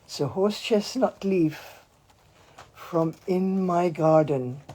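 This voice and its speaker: A woman with a husky, hoarse voice leads a mindfulness livestream at an easy, slow pace. She chats warmly and meanders between topics, speaking at home into a phone. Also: on the low side. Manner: chatty and meandering, gently informal. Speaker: mindfulness teacher. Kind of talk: casual livestream with guided breathing. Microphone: phone, handheld at times.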